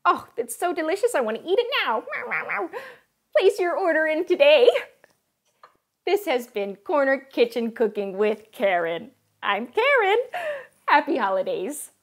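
A young woman playing a chirpy, wacky cooking-show host in a home kitchen, with a bubbly sing-song delivery and sudden hushed asides. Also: high voice, sing-song, normal speed, voice pushed up. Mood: perky. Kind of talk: parody cooking show, scripted comedy.

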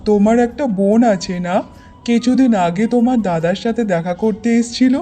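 A woman voicing a gentle, patient old male hermit in a fairy-tale cartoon, speaking softly and moralising like a father. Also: fairly high pitch, fairly quick, soft background music, natural voice. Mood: kindly.

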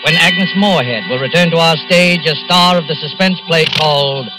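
Male 1940s radio announcer, formal, dramatic, slightly high voice, music underneath. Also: moderate pace, low-quality recording. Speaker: narrator and announcer.

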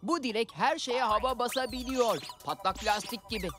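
A woman's voice: on the high side, normal speed, natural voice. A woman voicing an enthusiastic young keeper of magic wishes, explaining them in a children's cartoon dub. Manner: explanatory, presenting. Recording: music under the voice.

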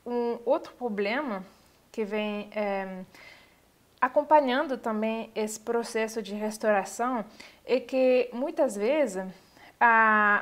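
A woman, giving an online university lecture over slides, pausing often with fillers as she searches for words. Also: a little high. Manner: hesitant, many filler pauses. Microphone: webcam on a video call.

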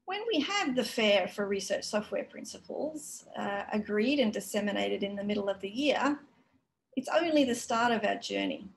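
A woman giving a conference keynote over a video call, explaining in a measured way with frequent fillers. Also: mid-range voice, animated, creaky, poor audio. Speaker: keynote speaker. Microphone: video call webcam.

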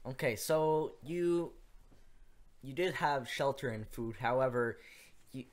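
A man's voice: mid-range voice, slow pace. A man hosting a podcast interview with his grandmother, asking questions in a hesitant way with many fillers. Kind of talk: casual interview.

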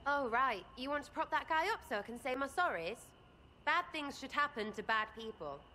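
A cheeky young elf archer from a fantasy game, with an English accent. Her voice is high and swoops about as she rambles in blunt, impish slang. Faint noise and ambience sit behind her. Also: expressive, normal speed, pushed and bright. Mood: blunt, impatient. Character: brash, cheeky young elf woman archer, irreverent rebel. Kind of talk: video game character acting.

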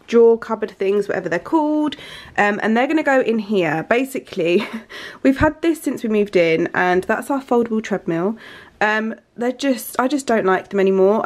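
A bubbly young woman with a slightly high voice talks through her home vlog, her nose stuffed up. She chats casually to a handheld camera in her living room. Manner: chatty and enthusiastic, many fillers like um and like. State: stuffy nose from hay fever. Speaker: lifestyle vlogger.

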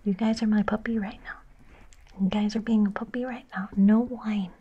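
A woman streaming ASMR in a soft, playful whisper, giggling now and then, close to the mic. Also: mid-range voice, steady tone, fairly quick, dull audio, slight background noise.

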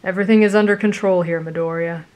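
A woman voicing a tired, grumpy adult male teacher who talks in a flat, weary mumble. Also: lowish voice, quick pace, close to an everyday voice.

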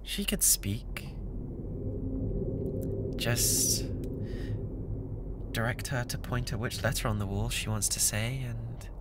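An obsessive young British yandere classmate, played by a man in an ASMR roleplay. He speaks slowly and intimately, with theatrical menace and a bratty, unhinged streak. There is clear background noise in the recording. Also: mid-range voice, steady tone, close to an everyday voice. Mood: gloating, sinister.

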